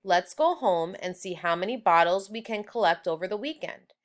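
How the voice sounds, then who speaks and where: A woman's voice: mid-range voice, lively intonation, moderate pace, natural voice. A woman voicing an encouraging male third-grade teacher in a children's storybook.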